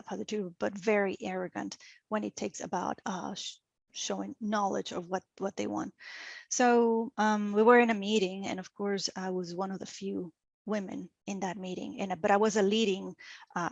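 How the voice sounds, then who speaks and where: A middle-aged woman from Venezuela with a Venezuelan Spanish accent, speaking English as a guest in an interview and telling personal stories in an animated way, often restarting her sentences. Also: medium pitch, creaky, dry recording, highs cut off.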